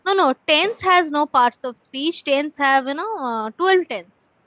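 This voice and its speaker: An Indian-accented woman teaches spoken English through casual conversation. Her voice is high and the sound is dry, with little room on it. She asks simple questions in an encouraging way. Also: expressive, unhurried, a bit bright, no room sound.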